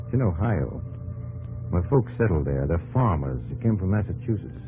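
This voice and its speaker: A low-pitched, gentle frontier scout speaks in a plain, folksy drawl. It is old radio drama acting on a muffled 1950s recording with music behind it. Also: male voice, very animated, moderate pace. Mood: earnest, hopeful.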